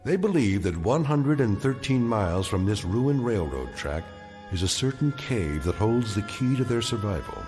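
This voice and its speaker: An actor playing a grave, measured narrator of an eerie radio drama, delivering ominous scripted narration. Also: male voice, fairly low pitch, very animated, darkish tone. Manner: measured, portentous. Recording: long reverb, music underneath.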